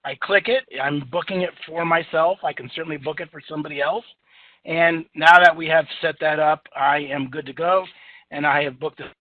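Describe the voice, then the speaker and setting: A man with a booming voice walking through a software demo in an easygoing, chatty way with lots of fillers. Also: steady tone, normal speed, no room sound. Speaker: software demonstrator. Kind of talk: software screen-share demo. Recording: tinny phone-like audio, dry.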